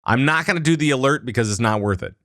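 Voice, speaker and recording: Guy on a gaming podcast, animated, acts out the characters, dead dry mic. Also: medium pitch, fast talker, no echo. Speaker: podcast co-host. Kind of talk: casual gaming podcast chat.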